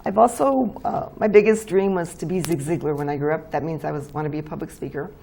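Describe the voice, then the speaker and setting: Gravelly older woman, chatty guest. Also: deep voice, dark tone. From New Mexico, US. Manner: chatty, laughs easily, halting with fillers. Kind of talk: casual interview chat.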